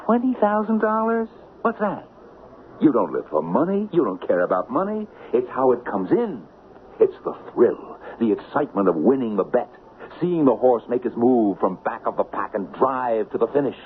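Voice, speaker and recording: A man's voice: medium pitch, sing-song, moderate pace, natural voice. Actor playing a silky, swooping male hustler boss. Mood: seductive. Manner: theatrical, coaxing, mocking. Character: smooth, commanding male con-man boss, seductively persuasive. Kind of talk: old-time radio drama acting. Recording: lo-fi hissy old radio recording.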